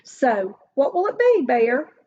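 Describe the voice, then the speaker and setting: Woman as a clever storybook hare, male, high voice, persuasive, animated, lo-fi sound. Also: slow, exaggerated voice. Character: clever, eager male hare, smooth-talking storybook trickster. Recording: dull lo-fi recording.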